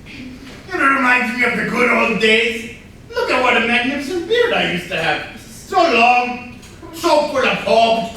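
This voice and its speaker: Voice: mid-range voice, big pitch swings, a little slow, bright, forceful tone. An actor playing a bitter, flamboyant jilted man in a comedy stage reading, theatrical and stammering with gleeful spite. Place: room at a live staged reading. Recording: distant, echoey room with faint noise.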